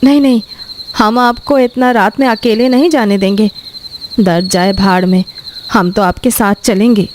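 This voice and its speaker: A woman playing an uneasy, devoted farmer's wife with a Bihari accent and a colloquial, pleading way of talking, in an animated horror story. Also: medium pitch, measured pace, background noise, lightly acted. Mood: insistent.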